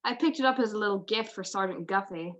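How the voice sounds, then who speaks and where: An actress playing a detective's playful, quick-thinking wife, arch and genteel, in an old-time radio drama style. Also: female voice, medium pitch, lightly acted. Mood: playful, pleased. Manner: arch, genteel, matter-of-fact. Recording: dull, lo-fi video call recording.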